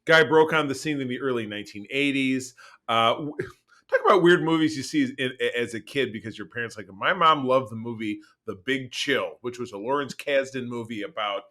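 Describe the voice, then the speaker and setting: Bright, emphatic male podcast co-host. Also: mid-range voice, fairly quick. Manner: animated, emphatic, repeats phrases. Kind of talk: casual chat about film and TV news.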